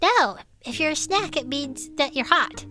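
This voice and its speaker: Excitable cryptid-club co-host for comedy audio fiction: high, swooping delivery, cheeky and gushing. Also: female voice, measured pace, faint music behind, full character voice. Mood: playful.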